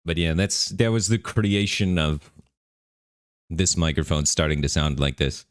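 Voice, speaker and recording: A man streaming a game live, talking in a relaxed, rambling way with lots of fillers, his voice bassy on a studio microphone at his home setup. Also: a little slow, no room sound. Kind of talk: casual live-stream commentary. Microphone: studio microphone through an audio interface.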